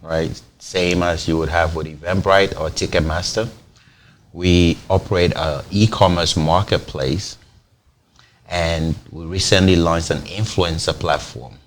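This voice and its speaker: A man's voice: low-pitched, steady tone, a little slow, a little hiss or hum. A man from Cameroon, a company founder giving a TV interview, explaining at length and restarting phrases as he goes. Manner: explains at length, repeats words when starting phrases. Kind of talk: TV interview, conversational.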